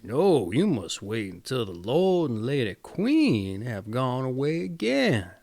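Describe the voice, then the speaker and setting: A man's voice: medium pitch, huge pitch swings, slow, a little mellow, exaggerated voice. A man reading the lines of a cautious, knowing wolf in a fairy tale. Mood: cautioning. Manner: instructive and restraining.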